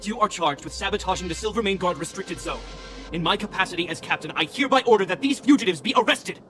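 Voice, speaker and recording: An actor playing a dutiful, stern young guard captain in a video game, speaking in a formal, commanding, earnest way. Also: male voice, sits high, animated, quick pace, sharp tone. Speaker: military captain. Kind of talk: dramatic video game voice acting. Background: game music.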